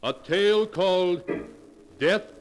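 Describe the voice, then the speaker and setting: A man playing an ominous storyteller, narrating 1940s radio horror with theatrical, slow dramatic pauses. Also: high-pitched. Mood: grave, suspenseful. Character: ominous male storyteller of dark, strange tales. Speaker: narrator and host of a horror anthology. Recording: hissy 1940s radio recording, long reverb.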